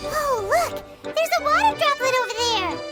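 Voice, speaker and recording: A woman voicing a tiny, childlike fairy companion in an anime video game, chatty, cute and excitable, with music behind her. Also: extremely high pitch, moderate pace. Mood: excited wonder. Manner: cute, exclamatory, refers to self by name.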